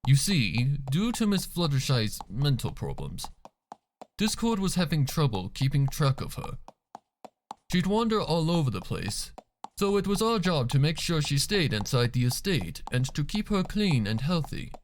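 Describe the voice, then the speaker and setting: A man voicing a composed, grave male pony butler with a polite, deferential and measured way of speaking, in a storybook reading. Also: sing-song, a little hiss or hum. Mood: grave, explaining. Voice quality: low voice.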